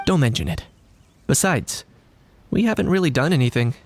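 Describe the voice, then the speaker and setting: Composed, courteous knight investigator. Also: male voice, medium pitch, big pitch swings. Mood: courteous, admiring. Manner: polite, measured, analytical. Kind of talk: English game dub acting.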